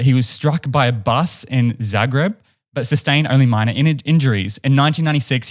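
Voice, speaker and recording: Young NZ man, twenties, casual podcast storyteller on a close mic, animated, given to chuckling. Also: medium pitch. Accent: New Zealand. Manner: animated storyteller, laughs mid-sentence. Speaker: podcast co-host. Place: home room with stone wall, indoors. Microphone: boom studio mic close up.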